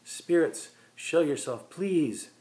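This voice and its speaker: A man reading a short story, voicing a female spirit medium with a calming, hypnotic, theatrical delivery. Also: a little high, slow pace, voice pushed up. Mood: solemn, invoking. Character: sham female spirit medium, solemn and hypnotic, secretly cynical.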